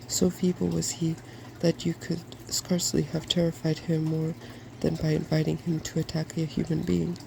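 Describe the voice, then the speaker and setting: Softspoken, creaky, very even ASMR reader. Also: medium pitch, moderate pace, few pauses. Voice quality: soft-spoken. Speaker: ASMR host and storybook reader. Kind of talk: softspoken ASMR fairy tale reading. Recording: clearly noisy background.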